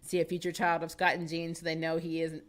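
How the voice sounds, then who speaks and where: A woman's voice: deep voice, fast. Chatty American woman streaming from home. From United States. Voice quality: chatty and animated, laughs often, thinks aloud with fillers. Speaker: live-stream host reading chat. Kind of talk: casual chat. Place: small home studio with shelves of collectibles. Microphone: boom-arm studio microphone close to the mouth.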